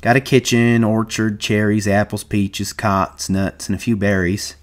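A man acts out a working ranch hand from an American novel. He is shrewd and plain-spoken, with folksy rustic speech and a dreamer's streak, pitched somewhat above a low narrator's voice. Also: little pitch movement, a little slow, natural voice. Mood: matter-of-fact.

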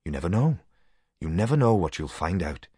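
A man's voice: sits low, sing-song, a little fast, a little mellow, slightly rough, no room sound, clean studio sound, full character voice. A man playing a dreamy, melodramatic young man in a story, speaking in a wistful, florid way.

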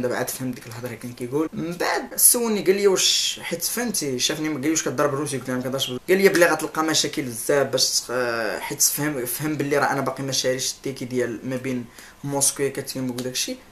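Young male vlogger, Moroccan accent, very fast and animated, faint hiss, camera mic in a room. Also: mid-range voice. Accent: Moroccan Arabic. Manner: animated, gestures constantly, laughs while telling. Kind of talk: casual storytelling vlog. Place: indoor room, seated on a couch. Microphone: camera mic, close framing.

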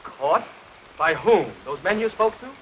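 An actor playing an indignant, skeptical young traveler in a 1930s horror film, with crisp, stagy delivery. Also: male voice, a little high, expressive, unhurried, a bit bright. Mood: indignant, disbelieving. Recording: very noisy 1930s film soundtrack under rain. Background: heavy rain.

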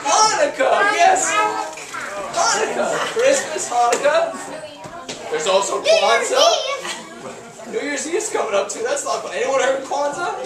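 A man's voice: extremely high pitch, wildly swooping pitch, a little slow, pauses often, a loud crowd. A young man in his twenties performing as a children's entertainer at a holiday party, upbeat and jokey as he coaxes the kids to join in. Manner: upbeat, jokey, coaxing the audience. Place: large hall decorated for the holidays, indoors. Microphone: far camera. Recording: echoey hall from far off, noisy kids.

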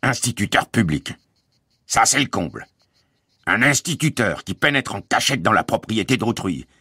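A man voicing a huge, officious, bullying guard in a storybook reading, with a gravelly, shouting, menacing delivery. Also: medium pitch, very animated, moderate pace, no echo, studio quality, voice pushed up, exaggerated voice. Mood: bellowing outrage. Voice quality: raspy, gravelly.

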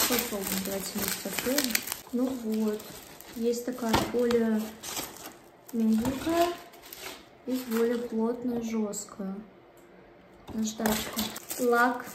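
Young female beauty vlogger, fairly high voice, informal and chatty, filmed on a phone indoors with some room noise. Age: 20s. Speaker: manicurist vlogger. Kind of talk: casual chatty vlog. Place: small indoor kitchen and studio rooms. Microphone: phone at arm's length. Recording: clear background room noise.

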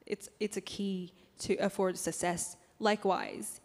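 A young woman whose first language is Cantonese, reading a prepared statement from her laptop into a handheld microphone at a conference, with frequent pauses and ums. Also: medium pitch, flat delivery, darkish tone. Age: young adult. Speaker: youth panel speaker. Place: large conference hall. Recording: reverberant conference hall.

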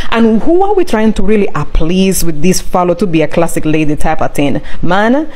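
Emphatic Caribbean woman, mid-pitched. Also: warm tone, poor audio. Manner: emphatic, cautioning. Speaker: content creator, commentator. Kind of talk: casual reaction commentary.

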